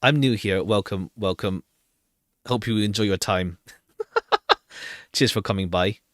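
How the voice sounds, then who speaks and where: A man's voice: fairly low pitch, a little slow, dry recording, clean studio sound. A man streaming a game, chatting casually and reading dialogue aloud in a rambling, chatty manner. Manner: rambling, chatty, repeats himself. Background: background music.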